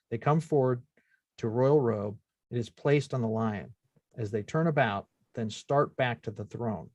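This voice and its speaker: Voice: mid-range voice, a little mellow, no room sound. A narrator reading out screenplay stage directions as scripted narration at a table read.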